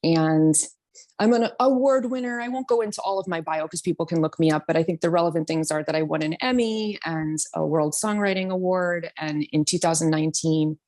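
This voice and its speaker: A woman in her thirties from New York talks through her story as an interview guest. Her voice sits low, and she fills pauses with ums and soft laughs. It comes across as easy, chatty conversation. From New York City. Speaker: guest, singer and voice teacher. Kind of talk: casual interview talk.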